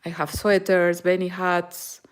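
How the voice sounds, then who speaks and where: Slow-speaking Peruvian woman crocheting. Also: on the low side, completely flat delivery, smooth. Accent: Peruvian Spanish. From Peru. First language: Spanish. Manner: slow, halting English with frequent fillers and switches into Spanish. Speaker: crochet channel host. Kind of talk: live-stream crochet tutorial and chat. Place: home in Germany.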